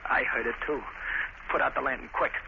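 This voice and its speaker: A man plays a bitter, easily spooked gangster in a vintage radio crime drama. He complains in rough slang at a medium pitch, under very loud crackle and hiss. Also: steady tone, brisk, close to an everyday voice. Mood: panicked. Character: bitter, grumbling male gangster, easily rattled. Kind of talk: 1940s radio drama acting. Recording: muffled, very noisy old radio broadcast.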